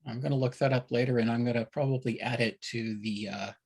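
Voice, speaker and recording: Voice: mid-range voice, steady tone, quick pace, darkish tone, slightly rough. Agreeable speaker in casual panel chat.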